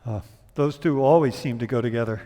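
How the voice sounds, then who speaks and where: A man's voice: medium pitch, fairly quick, warm tone, natural voice. A man playing a curious, musing listener to a life story in a radio drama. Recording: long hall-like reverb.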